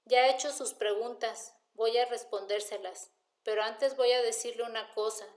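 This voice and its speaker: A woman's voice: fairly high pitch, steady tone, reverberant, natural voice. A woman voicing a defiant, wry male drifter who answers police questioning with sardonic retorts in an audiobook. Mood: sardonic, calm. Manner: sardonic, argumentative, unhurried retorts. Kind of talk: audiobook character dialogue.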